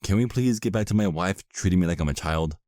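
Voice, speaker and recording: A man's voice: low voice, fast, a little mellow, no room sound, clean studio sound, close to an everyday voice. A man playing a put-upon vampire husband in a comedic audio roleplay, complaining in a sardonic, hesitant way. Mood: bewildered, irritated.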